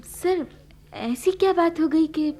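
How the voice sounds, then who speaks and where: High-voiced, adoring former student. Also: female voice, slow, dark tone. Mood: concerned. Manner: respectful, gushing, mixes in English. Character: adoring female former drama student, deferential admirer of her teacher. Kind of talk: radio play acting.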